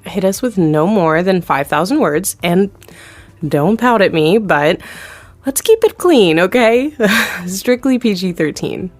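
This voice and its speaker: An actress playing a friendly executive producer who is a guest on a talk show, chatting with a conspiratorial giggle. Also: female voice, mid-range voice, expressive, a little slow, no room sound, soft background music.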